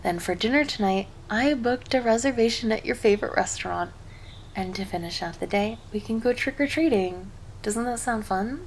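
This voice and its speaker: Woman voicing an affectionate succubus girlfriend, faintly creaky. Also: a little high, moderate pace, a little hiss or hum, close to an everyday voice, rough recording. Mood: cheerful. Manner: doting, teasing, speaking intimately to a partner. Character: cheerful, affectionate succubus girlfriend, playful and teasing. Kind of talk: ASMR roleplay, scripted monologue to the listener.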